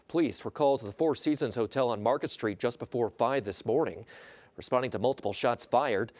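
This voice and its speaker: A man's voice: medium pitch, a little mellow, no room sound. A man with an American accent reading scripted news as a television anchor.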